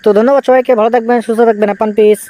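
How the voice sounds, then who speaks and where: A man's voice: very high-pitched, little pitch movement, very fast, dark tone. A man delivering a scripted video outro as a channel presenter.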